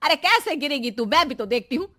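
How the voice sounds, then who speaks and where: A woman voicing a dramatic, bossy middle-aged mother-in-law in a cartoon story, scolding loudly and melodramatically. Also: high voice, sing-song, a little fast, no echo, studio quality, full character voice.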